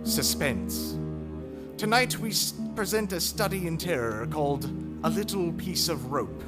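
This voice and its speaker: A man acts out a sinister, mysterious host of a vintage radio thriller. The delivery is formal and ominous, pitched high for a man and very animated. Music plays behind the words. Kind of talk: 1940s radio acting.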